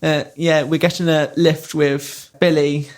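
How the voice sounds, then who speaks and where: Slow-talking higher-voiced man telling stories. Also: level tone. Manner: rambling anecdotes with laughs. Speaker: podcast co-host. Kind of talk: casual storytelling.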